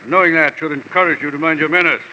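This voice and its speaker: An actor playing a pompous, over-polite and menacing fat crime boss in an old radio drama. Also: male voice, fairly high pitch, fairly even intonation, fast, bright, forceful tone. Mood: commanding. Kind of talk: 1950s radio drama acting. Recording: muffled, hissy old radio recording.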